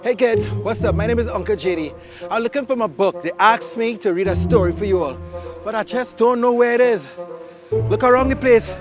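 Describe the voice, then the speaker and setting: Guy storyteller for little kids, high voice, playful short phrases, music clearly behind him. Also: brisk. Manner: asks listeners questions, short playful phrases. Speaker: children's storyteller. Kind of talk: playful address to young children.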